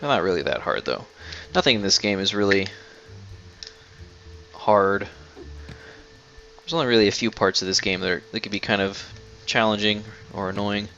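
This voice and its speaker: A young man giving casual gameplay commentary in a low-key, halting, unhurried way. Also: animated, bright, forceful tone, dull audio. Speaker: gamer, video host. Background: faint game music.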